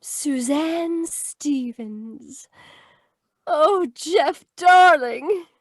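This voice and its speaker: High-voiced earnest radio heroine, played by a woman. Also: animated, extremely slow, dry recording, full character voice. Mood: earnest, anxious. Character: earnest young woman, anxious sweetheart in radio adventure. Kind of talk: old-time radio drama acting.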